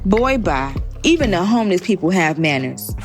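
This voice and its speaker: An actress playing a feisty, sassy young American woman in an audio drama, sharp-tongued and bantering. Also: fairly low pitch, sing-song, normal speed, soft background music. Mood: indignant, scolding. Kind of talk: casual audio drama dialogue.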